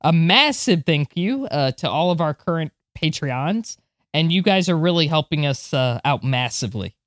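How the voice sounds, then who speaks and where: A woman's voice: low-pitched, lively intonation, normal speed, no room sound, clean studio sound. A woman co-hosting a podcast, chatting casually and reacting with enthusiastic exclamations. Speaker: podcast co-host.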